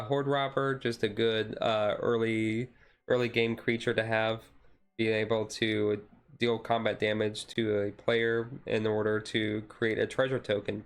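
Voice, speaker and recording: Low-voiced man, casual deck commentary. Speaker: streamer.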